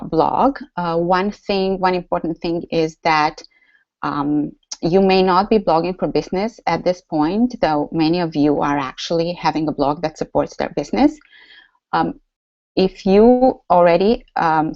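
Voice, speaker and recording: A woman's voice: fairly low pitch, darkish tone, dry recording, highs cut off. A woman with a Romanian accent hosting a live online workshop, chatty and full of fillers and restarts. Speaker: workshop host. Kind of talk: live webinar teaching.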